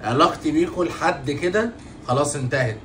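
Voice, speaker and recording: A man's voice: fairly high pitch, slight background noise, lightly acted. A man with an Egyptian accent playing a bossy, impatient man who scolds and lectures a clueless youngster. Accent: Egyptian Arabic. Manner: scolding, bossy, quick to shout. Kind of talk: comedy sketch.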